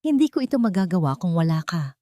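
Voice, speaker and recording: Narrator woman playing a young woman artist, tender, sincere, lowish voice, audio romance, dry recording. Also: very animated, quick pace, warm tone, no echo. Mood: grateful.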